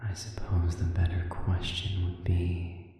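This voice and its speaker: A man playing a sadistic, coolly amused demon in an audio roleplay, speaking in a measured, silky, condescending way with soft edges that slip toward a whisper. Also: low voice, monotone. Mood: coolly polite, probing. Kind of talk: scripted audio roleplay monologue. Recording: very echoey reverberant room, distant.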